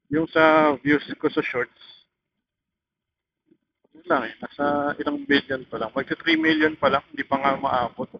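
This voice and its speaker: A young Filipino man chatting casually and rambling in slang, thinking aloud as he vlogs from a motorcycle through a helmet intercom microphone. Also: medium pitch. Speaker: motorcycle vlogger. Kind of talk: casual motovlog chat while riding. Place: outdoors on a motorcycle in traffic. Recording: dull intercom mic with road noise.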